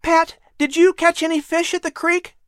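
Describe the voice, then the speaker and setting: A woman voicing a busy colonial mother in an audiobook, firm yet gentle and cheery. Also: extremely high pitch, level tone, moderate pace, no echo, studio quality, pitched above the performer's own voice. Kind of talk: audiobook character voice.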